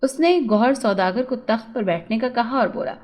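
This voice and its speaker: Female storyteller, notably high voice, for scripted narration of moral tales. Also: lively intonation, normal speed, a little mellow.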